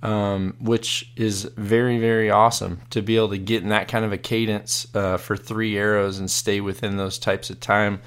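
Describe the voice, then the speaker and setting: A hoarse man hosting a live podcast, chatting casually and rambling with many fillers and restarts. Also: fairly low pitch, flat delivery, moderate pace, few pauses, a bit bright. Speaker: podcast host.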